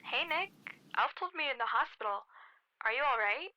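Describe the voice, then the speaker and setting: A woman's voice: sits high, animated, brisk, a little hiss or hum. A woman playing a casual, sceptical young friend on a muffled telephone in a radio drama. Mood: doubtful, hesitant. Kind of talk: scripted radio drama acting. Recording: muffled telephone line sound.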